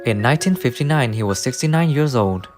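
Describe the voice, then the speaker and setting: A man's voice: mid-range voice, animated, a little fast. A man reading scripted biographical narration. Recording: music under the voice. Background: guitar music.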